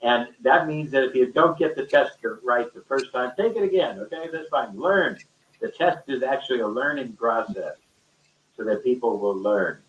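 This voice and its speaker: A man's voice: mid-range voice, moderate pace, slight background noise. A man speaking off the cuff in a rambling, colloquial and emphatic way, as a teacher in an online discussion. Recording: dull, echoey, distant-microphone call audio.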